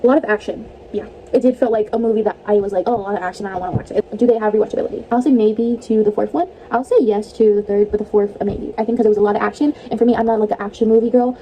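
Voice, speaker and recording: A woman's voice: mid-range voice, steady tone, rapid-fire, hardly any pauses, dark tone, slight background noise, low-quality recording. A young woman vlogging casually about movies, chatty and rambling, laughing often, her voice a bit stuffy and tired. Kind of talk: casual movie review vlog.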